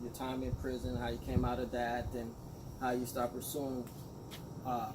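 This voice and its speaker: A man's voice: mid-range voice, completely flat delivery, normal speed. Man interviewer with affirming "okay"s, noisy. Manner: affirming, rambling question phrasing. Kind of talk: casual interview questions. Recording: noisy background.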